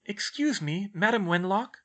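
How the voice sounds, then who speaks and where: A man's voice: high-pitched, big pitch swings, moderate pace, pushed and bright, pitched above the performer's own voice, full character voice. A man voicing an earnest, anxious young schoolgirl who speaks politely and hesitantly. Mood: tentative.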